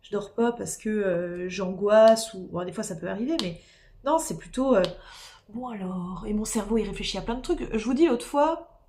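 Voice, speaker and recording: A woman's voice: mid-range voice, moderate pace, warm tone, slight background noise. A woman with a cold and a sore throat, tired and losing her voice, chatting casually and rambling with viewers from home as she works on a craft. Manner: rambling, chatty, many fillers and restarts. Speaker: streamer doing diamond painting. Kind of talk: casual live-stream chat with viewers.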